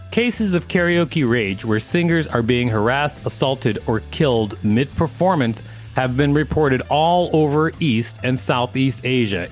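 A man co-hosting a podcast, reading an article aloud and adding casual asides. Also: medium pitch. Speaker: podcast co-host.

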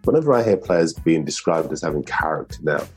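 A man's voice: rumbling low, lively intonation, a little fast, faint music behind. A man speaking as a newspaper pundit, giving emphatic interview commentary.

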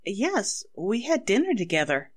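An actress playing an anxious, evasive wife under suspicion, melodramatic and faltering, in 1940s radio style. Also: female voice, medium pitch, very animated, a little slow, husky. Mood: anxious, defensive. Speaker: actor in a radio drama.

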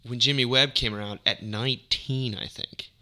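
A man's voice: medium pitch, steady tone, normal speed, bright, forceful tone. A man hosting a casual music discussion, talking enthusiastically and wandering into tangents. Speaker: music podcast host.

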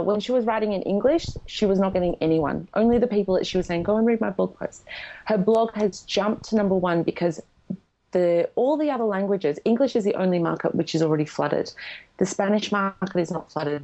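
A woman speaks frankly and emphatically as a podcast guest. Her voice sits low and carries a gravelly fry. The audio sounds slightly dull and lo-fi. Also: warm tone. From Australia. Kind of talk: podcast interview.

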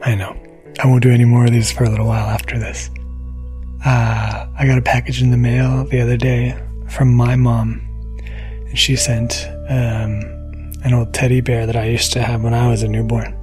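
A man's voice: mid-range voice, little pitch movement, normal speed. A man giving a casual spoken introduction to a podcast episode as its producer. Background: soft gentle music.

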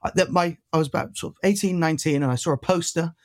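Twenties guy from wales, slight welsh twang, talks quick n rambly, lots of likes and restarts, kinda high voice. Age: 20s. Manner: chatty, rambling, full of fillers and restarts. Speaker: guest activist. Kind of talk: casual interview chat.

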